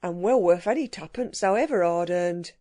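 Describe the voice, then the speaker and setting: Lady doing a grumbly burglar guy, slangy, a bit low and mellow. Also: normal speed, no room sound, clean studio sound, close to an everyday voice. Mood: wary. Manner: slangy dropped-aitch dialect, grumbling. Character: rough working-class male burglar, gruff and wary.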